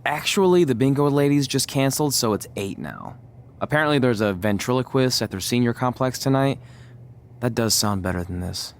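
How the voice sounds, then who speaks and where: An actor playing a wry, stubborn young wrestler in a video game, talking conversationally with dry sarcasm. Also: male voice, medium pitch, expressive, a little fast, slight background noise, close to an everyday voice. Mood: wry, casual. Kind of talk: video game cutscene acting.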